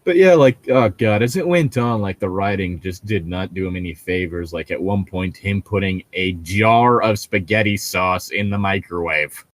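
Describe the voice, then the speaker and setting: A man's voice: low-pitched, normal speed, hardly any pauses, dry recording. A young adult man co-hosting a comedic fan fiction reading, joking casually, giggly, incredulous and prone to swearing. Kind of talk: casual, joking commentary and read-aloud.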